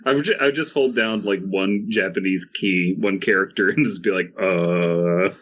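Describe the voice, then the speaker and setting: A man's voice: deep voice, moderate pace, a bit bright. A man co-hosting a comedy podcast, bantering casually and reading posts aloud with sardonic commentary. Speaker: podcast host. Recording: dull lo-fi microphone sound.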